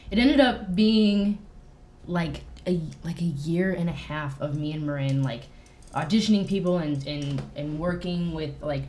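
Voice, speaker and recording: A woman with a notably low voice tells stories as an interview guest. Her speech is casual and halting, padded with fillers. The room echoes and there is faint noise behind her. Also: a little mellow. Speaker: band drummer, interview guest. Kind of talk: casual chat, storytelling. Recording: roomy echo, faint noise.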